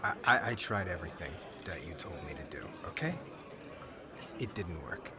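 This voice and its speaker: A man playing a brash, lovelorn young warrior groom in an ASMR audio drama, halting and informal, sounding exasperated. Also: deep voice, lively intonation, a crowd in the background. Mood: tipsy, bitter.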